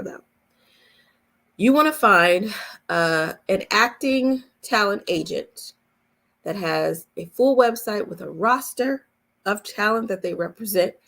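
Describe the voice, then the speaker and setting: A woman with a medium-pitched voice and plenty of gravelly creak. She talks as a coach in a casual chat, answering questions point by point. Key advice gets stressed and repeated. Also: fairly bright tone. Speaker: voice-over coach hosting a live Q&A.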